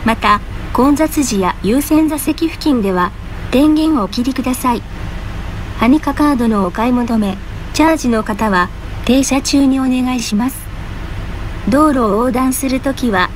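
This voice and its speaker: A woman's voice: high voice, normal speed, rough recording. A woman reading scripted bus stop announcements in a formal, polite, carefully enunciated way. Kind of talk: scripted public transport announcement. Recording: road and engine noise behind. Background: vehicle noise inside a bus.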